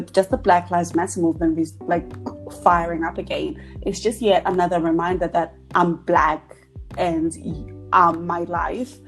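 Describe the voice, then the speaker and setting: A young woman from Zimbabwe co-hosting a podcast, talking reflectively and thinking aloud in casual chat. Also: on the low side. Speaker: podcast co-host.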